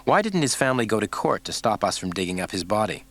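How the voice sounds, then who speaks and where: A man's voice: fairly low pitch, big pitch swings, fast talker, fairly bright tone. A man voicing a cocky male federal agent who speaks mildly and wryly. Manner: mild, wry, cocky.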